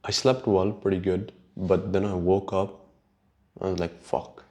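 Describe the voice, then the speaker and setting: Slow, low-voiced man with Urdu accent. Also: flat delivery, darkish tone. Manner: unhurried, halting, sweary. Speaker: podcast host. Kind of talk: casual rambling solo podcast chat.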